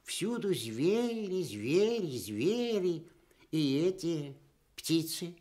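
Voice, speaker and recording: Small woodland gnome for children's radio play: excitable, marvelling, unhurried, very animated intonation. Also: male voice, on the high side, exaggerated voice. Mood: excited delight. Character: little forest gnome, excitable and full of wonder.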